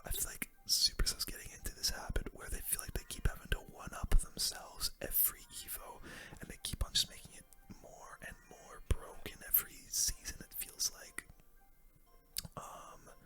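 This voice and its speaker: A gaming video host giving relaxed, conversational ASMR commentary on their own play in a soft, breathy whisper.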